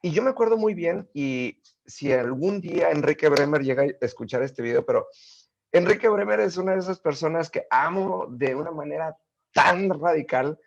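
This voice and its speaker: A middle-aged man, a guest in a casual interview, telling stories in an animated way with many fillers and restarts. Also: fairly high pitch, normal speed, gravelly, muffled recording.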